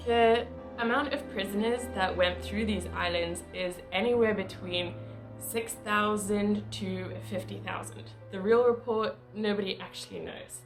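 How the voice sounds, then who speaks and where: A woman narrating her travel vlog in a casual, chatty way, with small laughs and fillers. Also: mid-range voice. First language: not Croatian. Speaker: travel vlogger.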